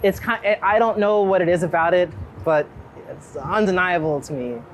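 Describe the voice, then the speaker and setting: A man's voice: sits high, moderate pace. A man talks casually as he walks through a city. He is reflective and rambling, often dropping a thought halfway to start another. Light urban noise and passing vehicles sit behind his voice. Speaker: street photographer being interviewed. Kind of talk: casual conversation while out walking. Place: outdoors on a busy city street. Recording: outdoor city street noise, traffic.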